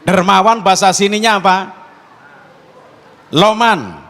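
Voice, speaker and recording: High-voiced Tegal Javanese preacher, echoing hall. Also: male voice, lively intonation, takes it slowly, a bit bright. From Tegal, Central Java, Indonesia. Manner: jokey, quizzes listeners with call-and-response questions. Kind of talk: interactive sermon to a congregation. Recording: long hall reverb.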